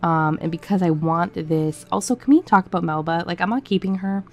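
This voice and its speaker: A woman with a lowish voice gives an informal, conversational gaming commentary. She sounds a bit sick and prone to cracking from a cough. Music plays behind her. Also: brisk. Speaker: gaming video creator. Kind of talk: casual voiceover commentary on a game build. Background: background music.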